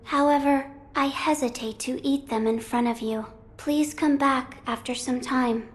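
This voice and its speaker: High-voiced, solemn holy maiden. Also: female voice, steady tone. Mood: serene, solemn. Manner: formal, measured, delicate. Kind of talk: video game cutscene acting.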